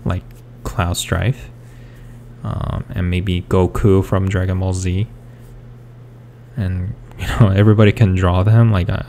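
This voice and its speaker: A young man from South Korea, Korean his first language, talks haltingly with a low, gravelly voice. He drifts along through many fillers and restarts. Faint hum sits behind him. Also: a little mellow. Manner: hesitant, full of fillers and restarts. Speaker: artist. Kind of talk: rambling speed-paint commentary.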